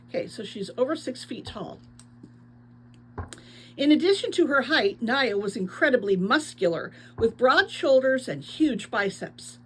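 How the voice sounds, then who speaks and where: A woman's voice: a little high, a little hiss or hum, rough recording. A woman reading a book aloud expressively as a teacher, adding short explanatory asides.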